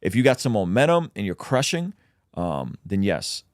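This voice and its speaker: A man's voice: fairly low pitch, very animated, normal speed, no echo, studio quality. A man, a native Russian speaker, hosting a casual livestream where he reads out chat questions and answers them with plenty of ums.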